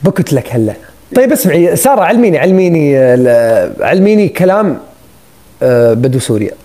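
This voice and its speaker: A man's voice: on the high side, sing-song, fairly quick, mellow. A man hosting a casual live stream on his phone, teasing and joking with his guests. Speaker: livestream host.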